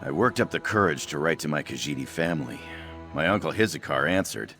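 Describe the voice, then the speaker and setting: A man's voice: rumbling low, expressive, fairly quick, music in the background. A man voicing an anxious, self-doubting young cat-man trader in a fantasy video game, fretful and hesitant. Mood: anxious, earnest.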